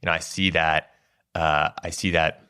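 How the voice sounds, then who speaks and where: A male podcast host with a very deep, low voice and a flat, even delivery. He asks long, winding questions in a steady, measured manner, piling up details before he reaches his point. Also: clear, resonant tone. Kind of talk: interview questions.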